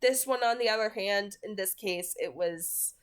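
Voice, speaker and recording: A woman's voice: mid-range voice, moderate pace, clean tone. A woman in her twenties who reads books aloud and talks about them. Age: 20s. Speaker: reader and reviewer on a read-aloud channel. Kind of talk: audiobook-style reading, then casual book commentary.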